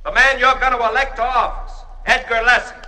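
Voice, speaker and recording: An actor playing a political candidate speechmaking over a loudspeaker in a 1940s radio drama. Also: male voice, very high-pitched, level tone, fairly quick, full character voice. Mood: oratorical. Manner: oratorical, speechmaking. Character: smooth male political candidate at a rally. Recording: far-off echoey loudspeaker sound.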